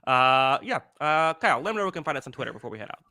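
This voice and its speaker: A man in his twenties hosting a podcast in casual chat, rambling with many fillers and restarts, with a higher-pitched voice. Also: animated, racing, sharp tone, no echo, studio quality. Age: 20s. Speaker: podcast host.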